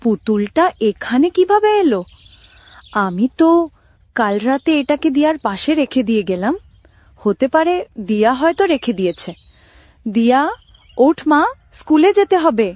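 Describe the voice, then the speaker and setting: A woman plays a reassuring mother in a cartoon tale. The voice is fairly high and affectionate, soothing a child, recorded dry with little room sound. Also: sing-song, normal speed, pauses often, darkish tone, no echo. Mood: puzzled. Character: caring, reassuring mother of a young girl. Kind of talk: Bengali cartoon story acting.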